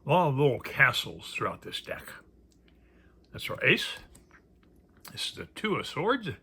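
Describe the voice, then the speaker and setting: A man's voice: mid-range voice, very animated, unhurried, sharp tone, slightly rough, whispered. A man giving casual, unscripted commentary as he presents a card deck, musing aloud and trailing off into murmured asides. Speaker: presenter reviewing a tarot deck. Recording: faint background noise.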